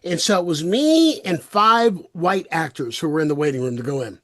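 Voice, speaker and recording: A man's voice: fairly high pitch, normal speed. A man hosting a podcast panel, telling stories in a loose, chatty way with frequent restarts. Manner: animated storyteller, repeats words, laughs often. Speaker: podcast host. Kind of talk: casual panel chat.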